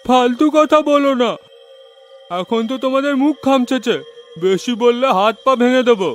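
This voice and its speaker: A man voicing a gruff villager in a cartoon who scolds and brushes off the victims. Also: very high voice, moderate pace, faint music behind, voice pushed up. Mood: scornful. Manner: scolding, dismissive, loud. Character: loud gruff male villager, scornful.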